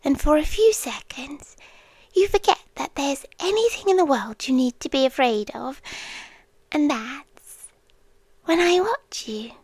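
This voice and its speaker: Woman acting a slow, whispery British yandere girlfriend. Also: sits high, expressive, no echo. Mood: menacing intimacy. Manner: slow, breathy, intimate whispering mixed with soft voice. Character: obsessive, possessive yandere girlfriend lurking under the bed. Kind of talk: whispered ASMR roleplay. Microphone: close, dry microphone.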